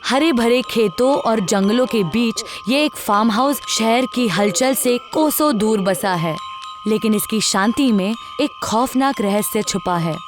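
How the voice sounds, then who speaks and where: A woman's voice: fairly high pitch, unhurried. A woman narrating a scripted horror story. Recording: music under the voice.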